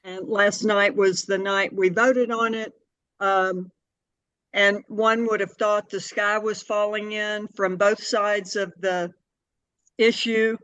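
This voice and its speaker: An older woman giving measured, explanatory answers in a conversational interview. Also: medium pitch, steady tone, a bit bright, clear, resonant tone, no room sound, rough recording. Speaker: interview guest, city board member and anti-hunger advocate.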